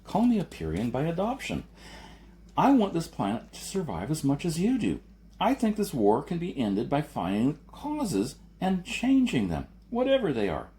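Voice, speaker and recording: A man's voice: a little high, wildly swooping pitch, moderate pace, mellow, voice pushed up. A man voicing a persuasive male adventurer in a story, arguing and lecturing with insistent emphasis.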